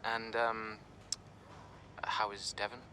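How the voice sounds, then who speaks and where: A man's voice: fairly low pitch, monotone, slow, bright, forceful tone, a little hiss or hum. A man playing a good-natured young racing driver in a video game, talking in a hesitant, unassuming, conversational way. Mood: casual.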